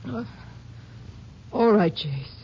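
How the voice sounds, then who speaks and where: An actress playing a grieving, hospitable small-town widow in a 1950s radio drama, with an American accent and a halting, husky voice. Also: female voice, medium pitch, animated, slight background noise. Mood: sad, earnest. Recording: dull vintage radio recording.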